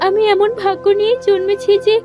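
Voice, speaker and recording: A woman plays a brave teenage princess in a cartoon fairy tale. Her voice is very high and reassuring, with soft music playing behind it. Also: little pitch movement, a little fast. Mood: consoling. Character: brave, consoling sixteen-year-old princess.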